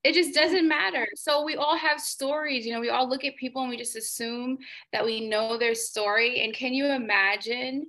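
A woman's voice: sits high, steady tone, moderate pace, bright, forceful tone. A woman hosting a podcast interview, reacting with gushing enthusiasm and chatting casually. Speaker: podcast host.

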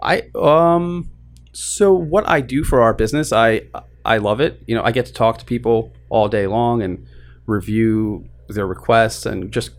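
A man's voice: medium pitch, unhurried. A young man from Queens, New York, talking casually as an interview guest about starting his business, easygoing and self-deprecating with frequent restarts. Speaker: guest, lending business co-founder.

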